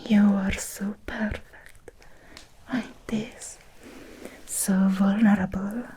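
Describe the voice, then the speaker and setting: A woman playing an obsessed, seductive Victorian vampire in an ASMR roleplay, whispering softly and slowly in an intimate, breathy voice. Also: medium pitch, flat delivery, slight background noise. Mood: devoted, possessive. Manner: slow, intimate, deliberate with long pauses. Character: obsessed, seductive Victorian female vampire, hungry yet restrained.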